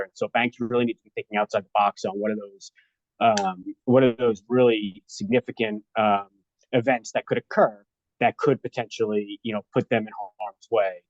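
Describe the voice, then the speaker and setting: A man's voice: lowish voice, a little mellow, no echo. A man explaining his field conversationally as an expert guest in an interview. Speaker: guest, risk consultant and former regulator. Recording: dull, band-limited call audio.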